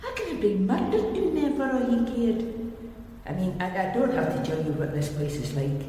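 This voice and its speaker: An actress playing a grumbling working-class Scottish housewife in a radio drama, chatty, rambling and full of exclamations. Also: female voice, mid-range voice, expressive, moderate pace, warm tone, close to an everyday voice. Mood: rambling complaint. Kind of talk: naturalistic radio drama acting. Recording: echoey stairwell-like reverb, faint noise.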